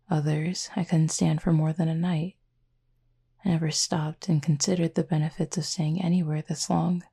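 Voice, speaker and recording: Woman playing a low, husky, gentle cupid roommate. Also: full character voice. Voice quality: soft, breathy, slightly husky. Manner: measured, formal wording, gently teasing. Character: gentle, formal cupid roommate with a tail, quietly fond. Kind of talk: intimate roleplay audio acting, addressed to listener.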